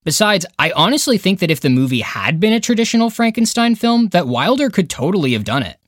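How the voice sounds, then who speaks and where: Animated man narrating a scripted countdown. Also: high voice, brisk, no room sound, clean studio sound. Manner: opinionated, emphatic, dramatic phrasing. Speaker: podcast narrator and film critic.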